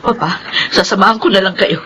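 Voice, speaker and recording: A woman's voice: mid-range voice, a little fast, fairly bright tone, a little hiss or hum, lightly acted, poor audio. An actress playing a devoted, distraught mother and doctor in a Filipino radio drama, speaking in an emotive, pleading manner. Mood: concerned.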